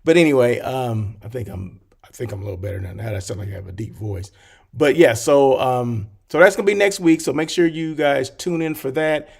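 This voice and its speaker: A man hosting a live show about hotels, talking casually and rambling with many fillers. He is slightly hoarse and keeps clearing his throat. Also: medium pitch. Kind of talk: casual livestream chat.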